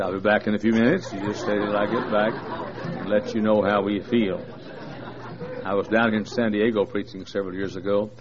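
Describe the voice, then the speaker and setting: A man in his sixties, a visiting preacher, joking folksily with a large congregation, with many fillers. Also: lowish voice. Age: 60s. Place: large church. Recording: dull lo-fi recording with faint noise.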